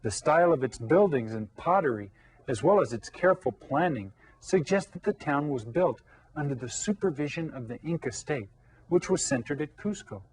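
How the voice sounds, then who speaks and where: A man's voice: a little high, animated, a little mellow, breathy edge, rough recording. A man teaching a Spanish lesson to young children, explaining patiently and repeating key words. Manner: patient, explains slowly with repetition.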